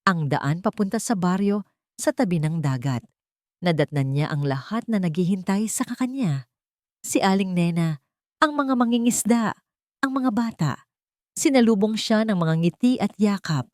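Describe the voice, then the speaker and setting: A woman's voice: mid-range voice, lively intonation, normal speed, a little mellow, dry recording, studio quality. A woman narrating a scripted romantic drama as a storyteller.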